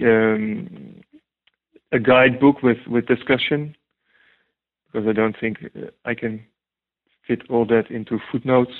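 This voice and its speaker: Dutch man, non-native English, halting interview guest, phone-quality audio. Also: medium pitch. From Netherlands. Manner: hesitant, many ums and restarts. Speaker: guest translator. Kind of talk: interview answers. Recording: tinny phone-like line.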